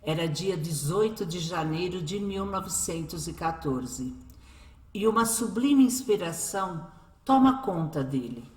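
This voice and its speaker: A woman narrates a scripted radio drama. Her voice is slightly low and very unhurried, with echo around it. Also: lively intonation, a little mellow. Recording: echoey, music behind. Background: music.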